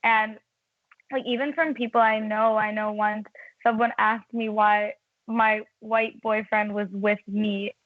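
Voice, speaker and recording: A woman's voice: mid-range voice, level tone, measured pace, no room sound. A woman from India, English not her first language, talking earnestly as a guest. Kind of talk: casual conversation. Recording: tinny phone line.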